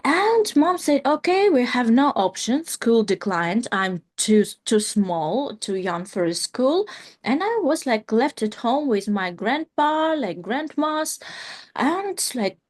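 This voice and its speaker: A woman in her thirties with a Ukrainian accent, speaking English as a second language. She talks at an unhurried pace in a fairly high voice, and her speech is loose and chatty, with lots of "like" and restarts. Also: big pitch swings. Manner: many "like" fillers and restarts, non-native grammar. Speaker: guest, company founder. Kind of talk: casual interview talk.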